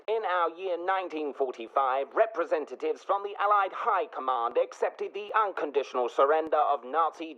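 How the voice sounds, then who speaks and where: A man playing a formal, measured 1940s radio news announcer in an audio drama. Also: fairly high pitch, fairly bright tone. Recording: dull vintage radio broadcast sound.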